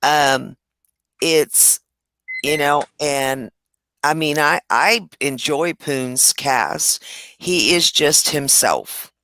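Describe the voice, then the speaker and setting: A woman in her sixties with a hoarse voice, chatting bluntly and rambling on a livestream. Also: low-pitched, drawn-out words, fairly bright tone, no echo. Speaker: stream host. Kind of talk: casual chat on a livestream.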